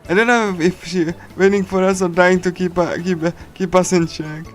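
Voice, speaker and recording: A man reading a visual novel on a casual stream, halting and repeating himself, giggly as he comments. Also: high-pitched, fairly even intonation. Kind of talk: casual game readthrough with translation and commentary. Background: game music.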